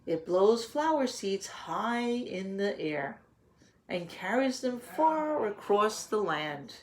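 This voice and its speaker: A woman's voice: mid-range voice, big pitch swings, slow pace, slight background noise. A woman teacher reading a picture book aloud to young children, patiently explaining in simple words and putting questions to the listeners.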